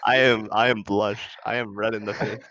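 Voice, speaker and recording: A man's voice: lowish voice, fairly even intonation, normal speed, crowd noise. A young man bantering casually as he playtests a game. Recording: muffled group voice chat, overlapping voices. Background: other people talking over him.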